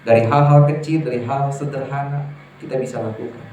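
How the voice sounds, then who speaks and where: A man preaching a sermon to a congregation, lively and emphatic, often putting questions to his listeners. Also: medium pitch, brisk, slight background noise. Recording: echoey hall from far off, faint ambience.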